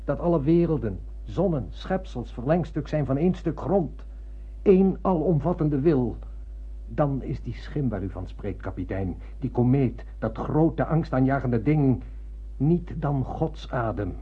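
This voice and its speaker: An actor playing a sober, dutiful ship's officer in a radio play, formal and earnest, with a husky voice. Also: male voice, mid-range voice, expressive, unhurried. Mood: earnest, pleading. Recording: thin muffled phone-like radio play recording.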